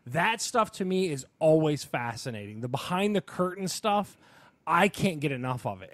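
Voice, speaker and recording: A man's voice: on the high side, dry recording. A man co-hosting a casual sports and entertainment podcast, talking in an enthusiastic, emphatic, rambling way. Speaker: podcast co-host.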